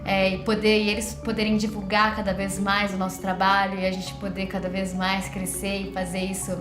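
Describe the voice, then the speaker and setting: A woman's voice: mid-range voice, completely flat delivery, fairly quick, over music. A Brazilian woman entrepreneur talking hesitantly and reflectively in a documentary interview. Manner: hesitant, reflective, frequent fillers. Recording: roomy interview room.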